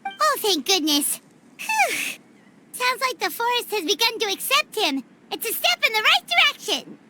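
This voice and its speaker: A woman voicing a tiny, perky, childlike fairy companion in a video game, squeaky and chatty. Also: sing-song, moderate pace, background noise. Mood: cheerful, relieved. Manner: perky, chirpy, refers to self in third person. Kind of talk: video game cutscene acting.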